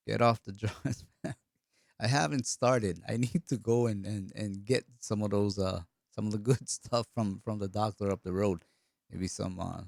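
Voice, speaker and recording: Low gravelly man with a cold. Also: a little mellow, no echo, clean studio sound. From Hawaii. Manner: rambling, repeats words. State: hoarse with a cold. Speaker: live stream host. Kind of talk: casual live stream chat answering comments.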